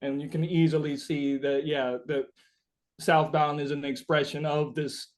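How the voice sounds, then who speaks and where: Casting: male musician in an interview, fairly high voice, casual, halting, thinks aloud. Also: little pitch movement. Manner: halting, searching, rambling explanations.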